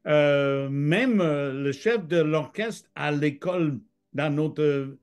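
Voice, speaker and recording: American guy on a video call, slow, lots of euh, kinda high voice. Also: clear, resonant tone. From Michigan, United States. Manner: hesitant, many "euh" fillers. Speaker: guest. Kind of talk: testimony interview.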